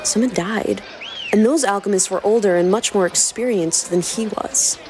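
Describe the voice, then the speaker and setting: A woman's voice: mid-range voice, moderate pace, a little mellow, slight background noise. A woman podcast host reading a scripted promo and narrating a story dramatically.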